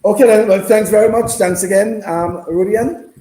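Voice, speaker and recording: Mellow sports host, roomy sound. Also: male voice, high-pitched, steady tone. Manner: halting, repeats himself. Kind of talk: casual live discussion. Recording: roomy, noisy room sound.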